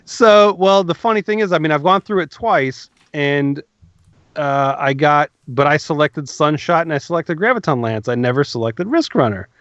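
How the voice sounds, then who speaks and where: A man co-hosting a gaming podcast from inside a closet, with a somewhat high voice. He rambles through stories and laughs at himself. The sound is dry, dead and a little muffled. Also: normal speed, a bit bright, no room sound. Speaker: podcast co-host. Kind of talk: casual podcast chat.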